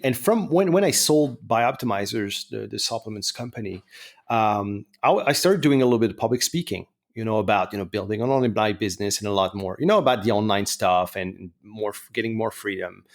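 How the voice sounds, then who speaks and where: A middle-aged podcast host with a French Canadian accent and a low-pitched voice. He talks casually and loosely, circling back on his words with lots of restarts and fillers. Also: normal speed. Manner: rambling, many restarts and fillers. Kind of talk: casual chat.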